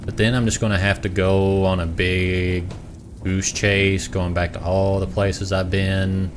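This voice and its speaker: A man's voice: low voice, little pitch movement, unhurried, background noise. A young man from the American South with a Southern accent, streaming a game and rambling in self-mocking asides. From Southern United States. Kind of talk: casual gameplay commentary. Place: home room.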